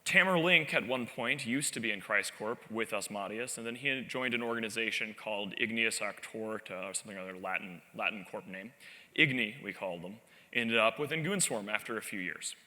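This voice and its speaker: A man gives a live conference talk in a large hall, telling anecdotes with a wry, self-deprecating edge. His voice sits on the low side but sounds very bright and sharp. The stage microphone picks up the long reverb of the room. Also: a little fast. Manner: animated, wry storytelling with self-deprecating asides. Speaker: conference speaker.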